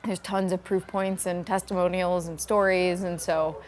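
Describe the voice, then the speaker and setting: Slightly low-voiced woman explaining informally. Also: steady tone, moderate pace, slight background noise. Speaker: startup founder being interviewed. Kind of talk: conversational interview answers.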